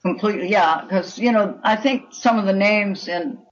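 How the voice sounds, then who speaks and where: An older woman speaking as a writer in an interview, opinionated and deliberate in her conversational delivery. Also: mid-range voice, dull audio, background noise.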